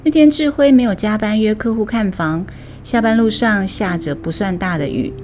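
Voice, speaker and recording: A woman's voice: medium pitch, moderate pace, background noise. A woman with a Taiwanese accent, a storytelling host reading scripted narration. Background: music bed.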